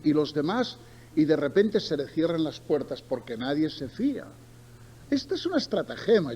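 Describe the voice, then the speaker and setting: A man's voice: fairly high pitch, warm tone, highs cut off. A middle-aged man from Barcelona with a Spain accent, preaching a sermon with emphatic repetition and conversational asides to his listeners. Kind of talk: sermon with Bible reading and storytelling. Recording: noticeable background noise.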